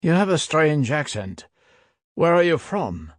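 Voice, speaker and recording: A man's voice: fairly high pitch, big pitch swings, no echo, studio quality, voice pushed up. Man voicing a lazy, mocking old soldier. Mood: amused. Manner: casual, mocking, unhurried. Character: weary fifty-year-old soldier, lazily amused.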